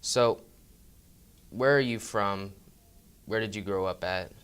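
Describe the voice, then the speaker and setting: A teenage boy with a low-ish, smooth, clear voice asks his grandfather friendly questions. His questions sound prepared in advance. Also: takes it slowly, a bit bright. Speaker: student interviewer. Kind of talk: student interview.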